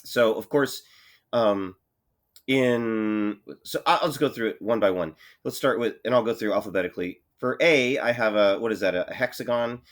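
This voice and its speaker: A male math teacher with a cold and a congested voice, talking his students through problems in a relaxed way, thinking aloud with many fillers. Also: fairly low pitch, normal speed. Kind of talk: recorded lesson walkthrough.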